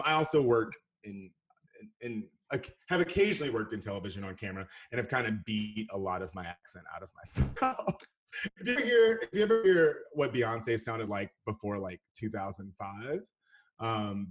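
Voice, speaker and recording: A man's voice: lowish voice, expressive, a little fast. A man from Texas with a faint Texan accent, chatting as a podcast guest and laughing often. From Houston, Texas. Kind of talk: casual chat.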